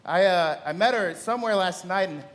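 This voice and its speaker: An actor playing a stout, middle-aged drunken party guest in a radio play, halting and repeating himself in tipsy amazement. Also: male voice, high voice, lightly acted. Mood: tipsy wonder. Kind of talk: live radio play acting.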